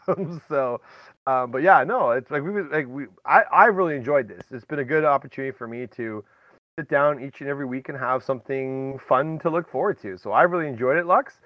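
A man's voice: medium pitch, a little fast, no room sound, dull audio. A man hosting a podcast in casual, rambling chat, talking directly to listeners with folksy exclamations. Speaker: podcast host.

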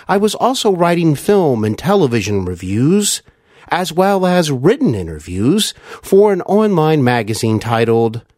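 A man's voice: medium pitch, no room sound, clean studio sound. A man hosting an interview podcast, introducing his show. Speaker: podcast host.

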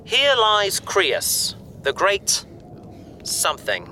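A man's voice: high voice, wildly swooping pitch, drawn-out words, bright, forceful tone, slight background noise, heavily acted. A man playing a bookish, enthusiastic space adventurer who explains things chattily in a sci-fi audio drama. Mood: puzzled, thinking. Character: male bookish, eager space adventurer and explorer.

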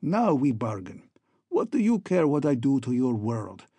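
A man's voice: medium pitch, very animated, measured pace, warm tone, slightly rough, no echo, exaggerated voice. Man voicing a gloating, oily hunchback villain. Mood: ironic good humor. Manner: broken foreign-sounding syntax, ironic, oily. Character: gloating hunchback villain, falsely friendly, ingratiating, lustful schemer.

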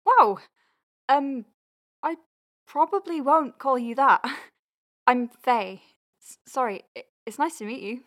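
A woman's voice: high voice, slow, pauses often, a bit bright. Awkward, hesitant young woman doctor played by an actress. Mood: flustered. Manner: hesitant, nervous, stammering. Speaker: lead actor in an audio drama podcast. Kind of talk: audio drama acting, naturalistic.

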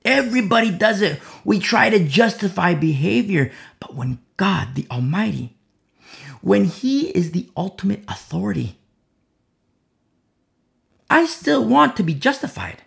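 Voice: medium pitch, gravelly. A preacher delivering a sermon, with rhetorical questions and a repeated "you see?".

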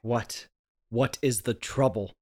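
A man playing a nervous, secretive young husband in a table read of an old radio horror play, halting, repetitive and defensive. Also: mid-range voice, fairly even intonation. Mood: uneasy.

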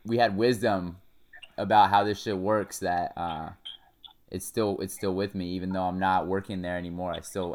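A young man hosting a podcast, asking questions in a friendly, affirming casual chat with restarts. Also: on the low side. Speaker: podcast host.

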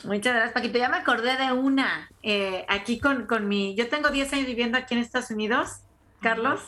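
A Mexican woman co-hosting a podcast, telling lively anecdotes and laughing in casual chat. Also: a little high, fairly quick. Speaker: podcast co-host.

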